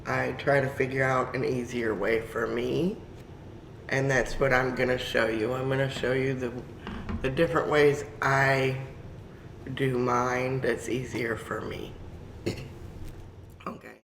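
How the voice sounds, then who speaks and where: A woman with a raspy, hoarse voice from a cold, hosting a chatty step-by-step crafting tutorial with frequent ums. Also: extremely low pitch, flat delivery, a little slow, pauses often, slight background noise.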